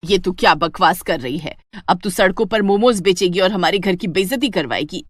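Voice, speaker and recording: A woman's voice: fairly low pitch, moderate pace, no echo, clean studio sound, close to an everyday voice. A woman playing a bitter, taunting middle-aged village mother-in-law in a theatrical moral story. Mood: scolding. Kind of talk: dramatic Hindi moral-story voice acting.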